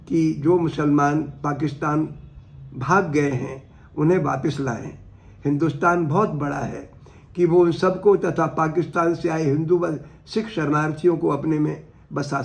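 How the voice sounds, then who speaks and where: Older man, gravelly, higher-pitched voice. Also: a little mellow. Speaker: professor giving a talk. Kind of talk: reading a historical speech aloud, then earnest commentary.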